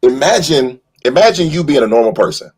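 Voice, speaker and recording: A man's voice: medium pitch, expressive, fairly quick, a little mellow, slightly rough. A man speaking casually and emphatically as a fan panelist, rambling through his thoughts in colloquial phrasing. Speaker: fan panelist on a live show. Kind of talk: casual passionate fan discussion.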